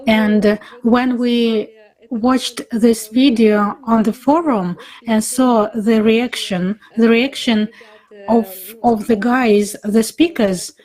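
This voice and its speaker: A woman speaking informally in a panel talk, in a halting, effusive way. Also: on the high side, steady tone, darkish tone, poor audio.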